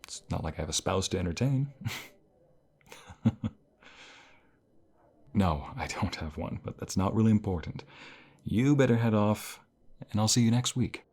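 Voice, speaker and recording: A man playing a caring, encouraging college professor in an intimate ASMR role play, speaking softly in a gentle, unhurried, reassuring way. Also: fairly low pitch. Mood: light, caring. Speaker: voice actor in an audio role play.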